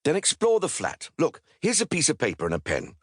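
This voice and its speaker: Preoccupied dad, audiobook drama. Brisk, offhand, hugely varied intonation, dry studio sound. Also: male voice, a little high, huge pitch swings, husky, no room sound, full character voice. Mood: brisk, dismissive. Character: preoccupied, brisk father working at home.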